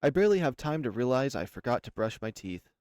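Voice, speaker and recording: A man's voice: medium pitch, very animated, fast talker, a little mellow, smooth, no room sound, clean studio sound. A man reading a visual novel aloud as first-person narration for a channel. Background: music.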